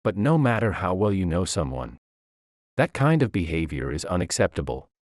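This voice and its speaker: A man's voice: low voice, big pitch swings, moderate pace, dry recording, studio quality. A man voicing an alarmed, pleading middle-aged bank president in a narrated story. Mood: panicked.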